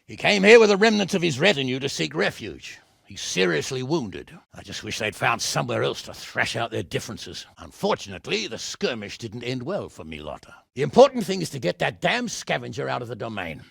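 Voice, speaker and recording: A man playing a gruff, blunt, hot-tempered middle-aged medieval nobleman in a video game, commanding and scolding in a gravelly, husky voice. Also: medium pitch, big pitch swings, a little fast, few pauses, fairly bright tone, no room sound, clean studio sound, full character voice. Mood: businesslike. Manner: blustering, scolding, swearing, commanding.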